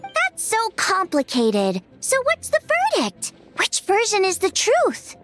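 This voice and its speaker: A woman voicing a small, childlike fairy companion in an anime video game, squeaky, chirpy and curious. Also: wildly swooping pitch, slow, a bit bright, faint music behind, exaggerated voice. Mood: puzzled. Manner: speaks of self in third person.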